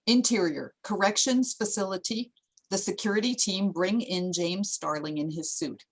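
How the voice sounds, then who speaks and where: A woman's voice: medium pitch, moderate pace, breathy edge, low-quality recording. A woman reading screenplay stage directions as a plain, descriptive narrator.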